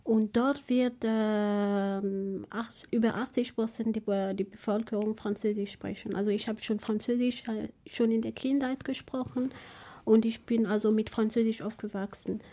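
A woman's voice: fairly high pitch, fairly even intonation, normal speed, warm tone. A woman who grew up in Gabon, speaking on a panel and searching for her words with many fillers. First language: French. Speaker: panel guest, language researcher. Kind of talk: panel discussion.

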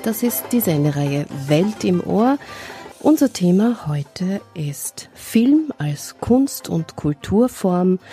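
A woman hosting a live radio show from the studio, announcing in a measured, formal way. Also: lowish voice, mellow, husky. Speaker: radio host. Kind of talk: radio moderation. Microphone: studio microphone.

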